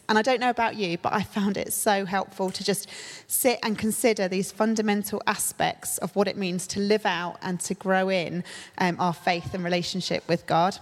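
A woman church leader preaching a sermon, her voice a little croaky from a cold, talking conversationally with plenty of fillers. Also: medium pitch. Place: church hall. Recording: long hall reverb.

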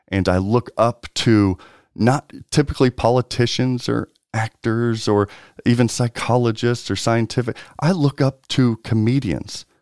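A man's voice: mid-range voice, darkish tone, clean tone, dry recording, studio quality. A man hosting a pinball podcast, announcing in a showy, hype style before settling into casual chat. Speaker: podcast host.

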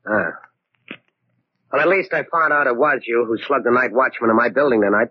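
An actor playing a shrewd, bluffing American sleuth in 1940s radio crime drama, measured and quietly needling. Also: male voice, medium pitch, lightly acted. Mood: probing. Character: shrewd male amateur sleuth, cool and bluffing. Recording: dull, lightly noisy old radio recording.

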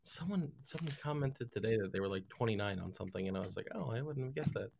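A man's voice: fairly low pitch, expressive, fast, creaky, background noise. A man co-hosting a podcast in casual chat, rambling, often stammering and restarting.

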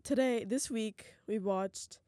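A woman's voice: on the high side, takes it slowly, warm tone, no room sound, clean studio sound. A young woman with a slightly stuffy, sick voice, co-hosting a casual podcast chat, chatty and giggly with frequent restarts. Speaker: podcast co-host.

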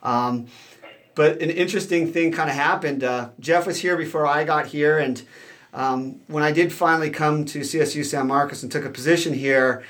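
A man from Southern California, a professor, answers interview questions at an even pace. His voice sits a little high, and he explains things steadily, with plenty of ums and uhs. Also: moderate pace. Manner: steady and explanatory, with frequent fillers. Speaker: guest, associate professor.

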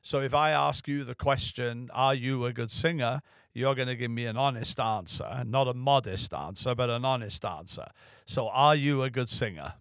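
A man's voice: mid-range voice, normal speed, fairly bright tone, no echo, studio quality. Easygoing male podcast host. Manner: easygoing, asks long winding questions. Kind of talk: casual interview conversation.

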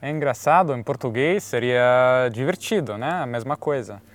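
Italian-accented man, non-native speaker, halting as he hunts for words. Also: medium pitch. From Italy. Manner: searching for words, many restarts. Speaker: guest. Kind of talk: casual conversation.

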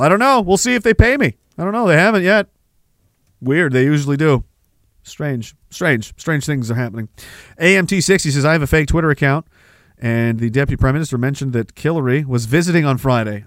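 A man's voice: mid-range voice, dry recording. Man, livestream host, reads chat comments, sarcastic, laughs a lot, dry sound.

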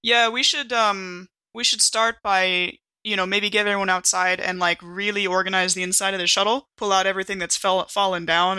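A woman chatting casually as a player in a tabletop role-playing game, animated and a bit halting, repeating words as she thinks. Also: lowish voice, smooth, no echo. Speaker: player in a tabletop RPG podcast. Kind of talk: casual improvised game chat.

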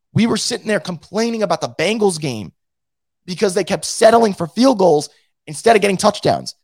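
A hoarse man who is losing his voice hosts a casual fan show and reads out the live chat, blunt and profane, with many restarts. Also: high-pitched, no room sound. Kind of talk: casual post-game rant.

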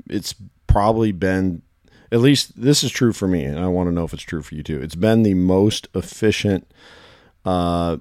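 A man hosting a casual podcast, his voice hoarse and worn, chatting and telling stories in quick bursts. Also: low-pitched, darkish tone, dry recording, studio quality. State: hoarse from shouting. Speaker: podcast host.

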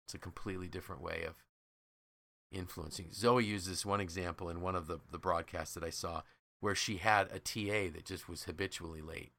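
A man's voice: low voice, steady tone, a little fast, creaky, sharp tone. A man hosting a podcast, telling stories conversationally in an enthusiastic, emphatic way and acting out quoted dialogue. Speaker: podcast host and leadership trainer.

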